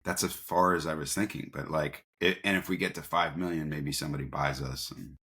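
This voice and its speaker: A man's voice: rumbling low, steady tone, fairly quick, bright, forceful tone. A man telling stories casually as an interview guest, informal and prone to acting out bits of dialogue. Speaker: interview guest, company founder. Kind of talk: casual conversational storytelling.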